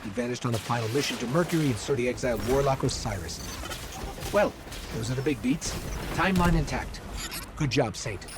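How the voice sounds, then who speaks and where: A man's voice: medium pitch, animated, brisk, background noise, lightly acted. A man voicing a wry, encouraging robotic companion spirit in a video game, conversational and earnest. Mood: brisk, relieved. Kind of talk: cinematic video game voice acting. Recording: music behind the voice.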